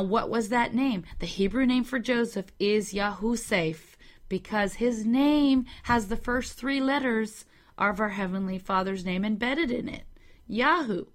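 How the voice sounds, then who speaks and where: A woman giving a slow, measured religious teaching, carefully spelling out the meanings of words. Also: fairly high pitch, a bit bright. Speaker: Bible teacher.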